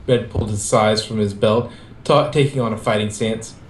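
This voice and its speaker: A man reading fan fiction aloud, narrating and acting out the dialogue in an expressive amateur way. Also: on the low side, normal speed, echoey room.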